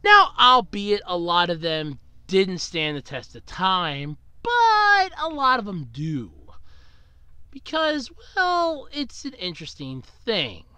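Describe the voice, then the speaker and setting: A man hosting a solo podcast, rambling casually about his week, with big swings up and down in pitch and still getting over a cold. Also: huge pitch swings, slow pace, bright, forceful tone, smooth, no echo. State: recovering from a cold. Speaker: podcast host.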